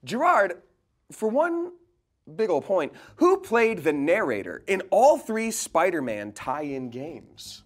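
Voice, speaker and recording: A man's voice: sits high, very animated, slow pace. A young man with a theatrical, jokey way of talking, playfully hosting a quiz show. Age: young adult. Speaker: game show host. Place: indoor studio set.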